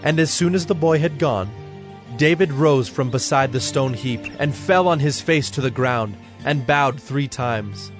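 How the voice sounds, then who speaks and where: A man's voice: mid-range voice, a little slow, faint music behind. A man narrating a dramatized Bible story from a script.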